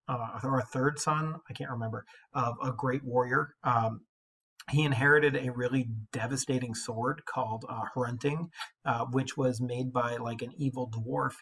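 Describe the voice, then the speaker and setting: Husky man teaching casually. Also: mid-range voice, fairly even intonation, fairly bright tone. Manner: informal, slangy, halting. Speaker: English literature teacher. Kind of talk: casual explanatory lesson commentary.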